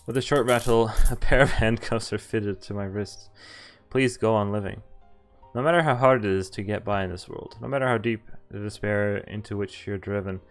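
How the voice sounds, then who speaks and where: A man's voice: fairly low pitch, moderate pace, soft background music. A man streaming a visual novel, thinking aloud about choices and reading the story text in first person. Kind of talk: live game commentary and reading text aloud.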